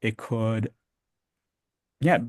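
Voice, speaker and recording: A man's voice: lowish voice, drawn-out words, no room sound, studio quality. A man teaching a technical class, explaining informally and step by step, often checking in with a short questioning tag. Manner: explanatory, checks understanding with "right?". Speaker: programming instructor.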